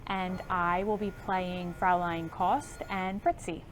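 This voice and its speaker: A woman's voice: on the low side, steady tone, slow pace. An American woman from Delaware, a stage actor, talking in a cast interview. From Delaware, United States. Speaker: musical theatre actor.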